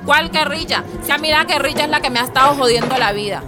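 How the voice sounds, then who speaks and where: An actress plays a fierce Colombian mother in a radio drama, high-pitched and combative. She fires out slangy exclamations in a Colombian accent and refuses to be cowed, over prominent music. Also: quick pace, lightly acted. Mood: defiant.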